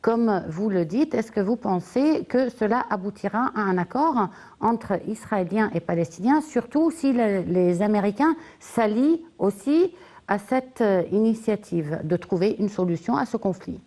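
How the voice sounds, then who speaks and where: Woman interviewer with a dark, mellow voice. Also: medium pitch, animated, measured pace. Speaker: interviewer, news presenter. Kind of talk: news interview questioning.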